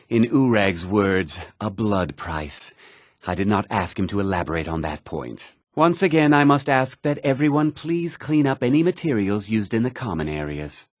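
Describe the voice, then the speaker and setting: A man playing a grave older mage in a video game, with a measured, formal, theatrical delivery. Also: lowish voice, dry recording, clean studio sound, close to an everyday voice. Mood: formal, stern. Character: grave older male mage, stern college leader, haunted by guilt. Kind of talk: dramatic video game voice acting.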